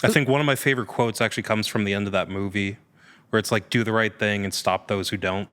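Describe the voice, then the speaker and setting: A man's voice: lowish voice, fairly even intonation, quick pace, a bit bright. A man chatting casually as a podcast guest, answering questions with joking asides.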